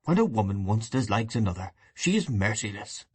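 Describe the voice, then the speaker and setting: An earnest, idealistic young man speaks in a low, husky voice, as a man puts him on. He sounds steady and grave. The recording is close and dry. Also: brisk, no echo. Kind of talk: audiobook dialogue reading.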